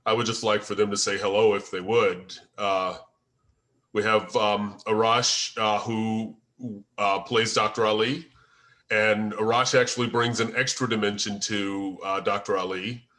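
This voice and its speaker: A man hosting an informal group discussion, explaining things in an earnest, deliberate way. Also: lowish voice, flat delivery, pushed and bright. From United States. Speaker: host and co-writer of a script table read.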